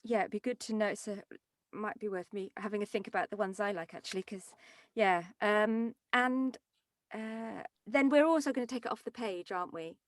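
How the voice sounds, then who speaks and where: A woman's voice: mid-range voice, moderate pace, dry recording. A woman with a mid-range voice leads an informal rehearsal discussion over a video call. Her speech is conversational and unscripted, and the sound is dry. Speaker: rehearsal organiser. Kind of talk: casual group discussion.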